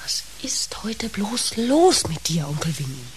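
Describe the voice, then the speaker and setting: A woman's voice: mid-range voice, big pitch swings, unhurried, slight background noise. An actress playing a patient, yearning niece who looks after her uncle in a German radio play.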